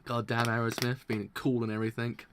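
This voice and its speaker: A man streaming a live read-through of comics, stumbling over words, restarting lines, and breaking into excited laughter, his throat sounding strained. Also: mid-range voice, brisk, background noise. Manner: excitable, stumbles and restarts while reading aloud, bursts into laughter. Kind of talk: live reading and reaction.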